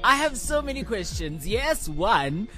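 Animated South African radio co-host. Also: male voice, high voice, soft background music. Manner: animated, quick to laugh, opinionated. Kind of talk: casual radio banter.

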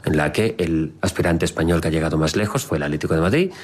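Catalan-native guy hosting a radio chat, low voice, chatty and teasing. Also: fast talker. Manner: chatty, teasing, lays out news point by point. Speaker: radio host. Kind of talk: casual chat on a radio show.

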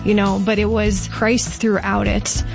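A woman's voice: medium pitch, level tone, unhurried, vocal fry. A woman giving a personal testimony in a conversational interview style. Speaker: interview guest in preview clip. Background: music.

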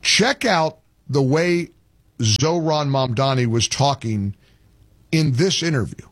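A man's voice: mid-range voice, animated, slow, dry recording. A man hosting a talk radio show, telling a story in a dramatic, incredulous way. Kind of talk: opinionated talk radio monologue.

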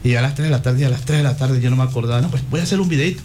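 A man, a singer telling his story in a casual interview, animated and quoting others. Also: mid-range voice, rapid-fire, faint music behind. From Chiriguaná, Cesar, Colombia. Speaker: singer guest.

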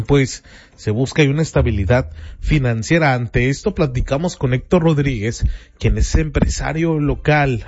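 A man's voice: a little high, a little mellow, dry recording, highs cut off. Chatty male news presenter. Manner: chatty, filler-heavy, addresses listener directly. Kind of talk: conversational local news bulletin.

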